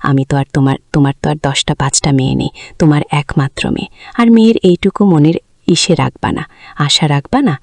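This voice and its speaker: A pouting, insistent daughter in a spoken family story, acted out by a woman. The voice is husky and creaky, full of reproach, recorded dry. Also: sits low, brisk, darkish tone, no room sound. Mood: indignant, pleading. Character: sulky, demanding young daughter, doted on by her father.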